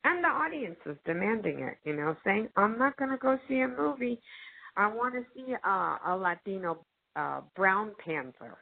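A woman's voice: mid-range voice, sing-song, moderate pace, gravelly. A woman actress as a guest in a casual discussion, talking earnestly and emphatically with many fillers. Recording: narrow phone-like audio.

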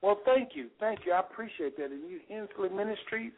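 A man with a slightly raspy voice hosting a radio show, preaching fervently with rhetorical repetition and reading scripture aloud. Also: high-pitched, lively intonation, brisk. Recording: phone-like radio call-in sound.

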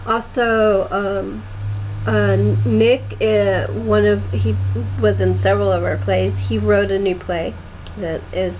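A woman hosting a casual group conversation, speaking in halting, hesitant phrases. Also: mid-range voice, level tone. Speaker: host. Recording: tinny phone-like line with clear noise.

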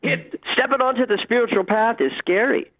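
A man speaking as a guest astrologer in a conversational radio interview, explaining things in an animated, emphatic way with expressive phrasing. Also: very high-pitched, normal speed, highs cut off.

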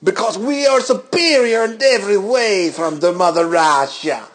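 A man's voice: high voice, normal speed, natural voice. A man voicing a boastful Russian heel wrestler, cutting a menacing promo in a put-on accent with broken English.

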